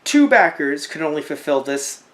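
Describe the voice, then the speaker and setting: A young man co-hosting a casual podcast, animated and joking, with mocking asides. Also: a little high, normal speed. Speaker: podcast co-host. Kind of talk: casual chat about a crowdfunding campaign.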